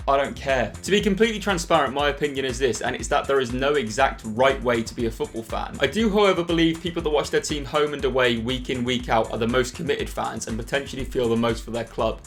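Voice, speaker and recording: Brief: young English guy, rapid candid vlog delivery, mid-pitched voice, faint music underneath. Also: fairly bright tone. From England. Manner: candid, earnest, quick-talking. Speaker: football vlogger. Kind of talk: casual vlog to camera.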